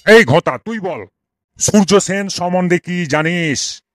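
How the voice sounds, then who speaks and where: A man acts out a cranky old schoolmaster from a comedy cartoon. His voice sits fairly high for a man and is recorded close and dry. He rants and scolds in exasperation, piling up theatrical rhetorical questions. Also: lively intonation, takes it slowly, dry recording, faint music behind. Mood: exasperated scolding. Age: older. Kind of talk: comic cartoon dialogue.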